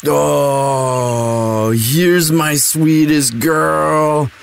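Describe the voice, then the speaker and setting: Man acting a slow-talking, sweet himbo boyfriend. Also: fairly high pitch, steady tone, gravelly, soft background music, exaggerated voice. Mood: tender, teasing. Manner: slow, dude-ish drawl full of likes and sighs. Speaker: audio roleplay performer. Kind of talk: intimate boyfriend roleplay, casual chat.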